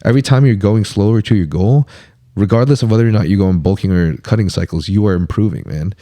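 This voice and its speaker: A man's voice: on the low side, fast talker, dark tone, no room sound. A man hosting a podcast, chatting casually and laid-back, saying dude and like a lot. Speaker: podcast host.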